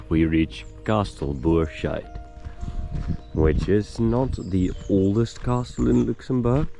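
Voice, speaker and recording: Hoarse male travel vlogger, very low and slow, music playing under him. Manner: unhurried, hesitant, explaining as he walks. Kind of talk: casual travel narration on a hike. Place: outdoors on a hiking trail.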